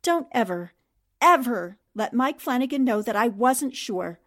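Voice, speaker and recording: A woman performs a self-assured newspaperwoman of 1940s radio drama. Her voice sits fairly high. She speaks in a confiding way, as one would to a trusted friend. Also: lively intonation, a little slow.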